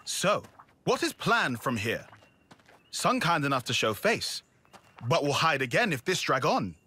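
A husky, weary little furry creature. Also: male voice, on the high side, sing-song, unhurried, sharp tone, heavily acted. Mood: impatient. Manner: broken grammar without articles or pronouns. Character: small male furry round creature, grumbly, weary, deadpan. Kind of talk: video game voice acting.

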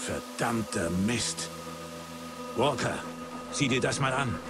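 Blunt soldier, very expressive pitch. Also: male voice, slow, very noisy. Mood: dry, wary. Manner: blunt, dry. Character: gruff, level-headed male special forces soldier, squadmate. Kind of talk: video game voice acting, German dub. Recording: loud music under the voice.

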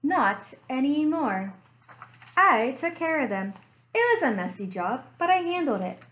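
A woman's voice: sits high, big pitch swings, a little slow, a bit bright, full character voice. Muffin, a woman voicing a smug bakery cat. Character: proud little male bakery cat detective.

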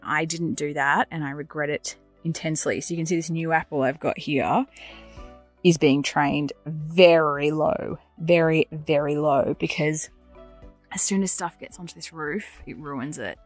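A woman's voice: low voice, fairly even intonation, measured pace, soft background music. A woman giving a casual, chatty walk-and-talk garden tour outdoors, offering practical advice as she goes. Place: outdoors in a large netted garden run.

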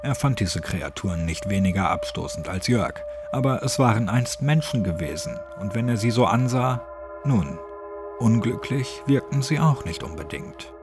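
A man's voice: fairly low pitch, expressive, moderate pace. A man reading a scripted horror story as an audiobook narrator. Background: faint music.

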